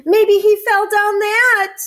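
A woman's voice: medium pitch, lively intonation, slow, vocal fry, fairly bright tone, heavily acted. A woman voicing an anxious, sorrowful cat from a children's storybook, with emotive, plaintive delivery. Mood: wailing.

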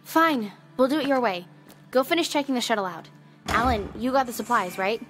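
A woman's voice: fairly high pitch, fairly quick, over music, natural voice. A woman in an audio drama playing a proud, headstrong spaceship crew leader with an insistent, commanding manner. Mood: firm, impatient.

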